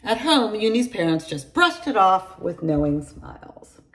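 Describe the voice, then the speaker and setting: A middle-aged woman reading a children's picture book aloud to her class, in an animated storytelling manner, recorded on a close phone camera in a large room at home. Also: medium pitch, a little slow, poor audio. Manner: animated storytelling, addresses children by name, gravelly edge. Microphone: phone or laptop camera at close range.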